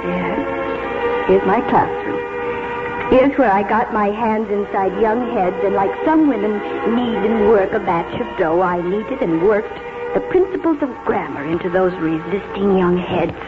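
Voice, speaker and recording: An actress playing a kindly, reminiscing spinster schoolteacher in a 1940s radio drama, speaking tenderly and precisely. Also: female voice, mid-range voice, measured pace, lightly acted. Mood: wistful, reminiscing. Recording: tinny 1940s radio, loud music behind.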